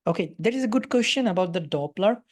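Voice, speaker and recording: A woman's voice: medium pitch, lively intonation, fast. A woman with a Bangladeshi accent giving a live webinar lecture and answering questions, talking with frequent hesitations and fillers. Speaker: radiology lecturer.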